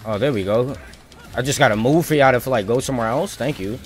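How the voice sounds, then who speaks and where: A man's voice: mid-range voice, fairly quick. Slangy man streaming a game, music behind. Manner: slangy, swearing, muttering to himself. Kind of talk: casual live gameplay commentary. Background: music and game audio.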